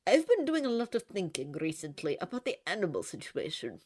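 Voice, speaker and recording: A woman voicing an awkward, well-meaning middle-aged man in a storybook reading, in a hesitant, gentle manner. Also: on the low side, huge pitch swings, fairly quick, slightly rough, full character voice. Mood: thoughtful.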